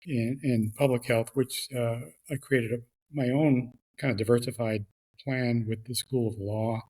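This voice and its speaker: Middle-aged New York-raised guest, hesitant and filler-heavy, mid-pitched, remote call. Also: male voice, steady tone, a little mellow, low-quality recording. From New York, the Bronx. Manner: halting, many fillers and repeated words. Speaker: guest, regulatory affairs expert. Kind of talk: casual interview chat.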